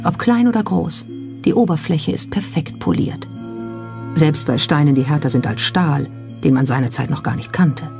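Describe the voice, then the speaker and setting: A narrator reading a scripted documentary in a pointed, skeptical, rhetorical manner. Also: medium pitch. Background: background music.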